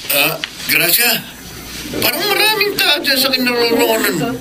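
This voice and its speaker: A man's voice: very high-pitched, wildly swooping pitch, moderate pace, noisy background, exaggerated voice. A male actor playing a helpful young friend on a phone call, earnest and urging, in a Filipino radio drama. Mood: concerned, friendly.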